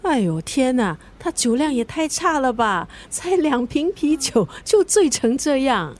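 An actress playing a blunt, bossy, complaining drinking buddy in a radio drama, with a Singaporean accent. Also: female voice, high voice, sing-song, measured pace, exaggerated voice. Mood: exasperated. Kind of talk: Mandarin radio drama acting.

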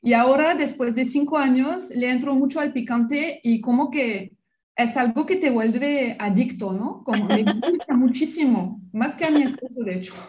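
A woman from France with a French accent, a research biologist being interviewed on the radio, friendly and quick to laugh. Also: high-pitched, darkish tone. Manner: friendly, laughs easily, some restarts.

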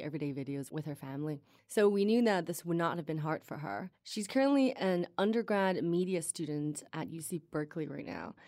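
A woman's voice: low-pitched, darkish tone, dry recording, clean studio sound. A woman giving conversational commentary as a podcast executive director.

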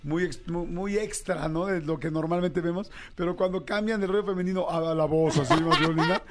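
A man hosts a radio talk show in a fairly high voice, joking, laughing and prodding his guests. He sounds playful and quick to tease. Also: heavy background noise. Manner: jokey, prodding, laughs often. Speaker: radio host.

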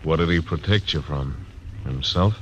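A deep-voiced laconic frontier marshal. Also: male voice, moderate pace, slight background noise, poor audio. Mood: wary, dry. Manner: terse, dry, laconic. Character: tough frontier marshal, dry and wary. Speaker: narrator and lead. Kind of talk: 1940s radio acting.

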